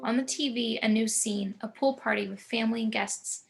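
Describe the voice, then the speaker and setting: A woman reading screenplay action and scene descriptions in a measured, descriptive manner. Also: fairly high pitch, steady tone, creaky, soft background music. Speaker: narrator.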